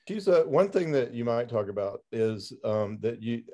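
A man interviewing an author in a casual chat, asking his questions haltingly with many pauses. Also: medium pitch, sing-song, warm tone.

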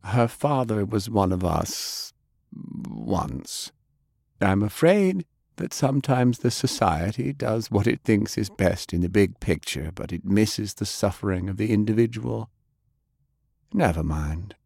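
A man voicing a gentle, courteous older gentleman. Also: on the low side, animated, gravelly, dry recording, clean studio sound, exaggerated voice. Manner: gentle, measured. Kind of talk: audiobook character voice.

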